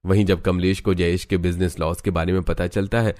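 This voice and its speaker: A man's voice: sits low, level tone, fairly quick, a little mellow, no echo. A man reading scripted story narration with music playing behind him. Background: background music.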